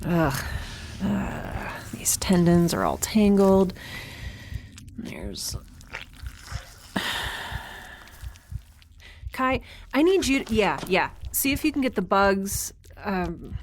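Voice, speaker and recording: Flustered, slow-spoken improvised woman medic. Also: medium pitch, sing-song, a little hiss or hum. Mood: tense, focused. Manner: hesitant, halting, takes charge. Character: young woman improvising as field medic, flustered but caring. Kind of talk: naturalistic audio drama acting.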